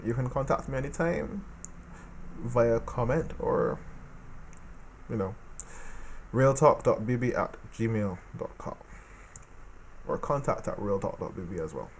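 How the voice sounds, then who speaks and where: A man from the Caribbean talking casually about politics in an animated monologue, repeating phrases for emphasis. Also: medium pitch, a little slow, pauses often, slight background noise. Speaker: political commentator.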